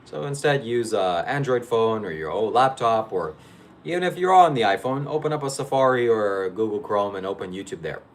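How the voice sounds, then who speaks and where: A man's voice: lowish voice, lively intonation, normal speed. A man from Ukraine, hosting a live stream and chatting casually with viewers in a rambling, hesitant way. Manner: rambling, hesitant, with many fillers and restarts. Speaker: livestream host.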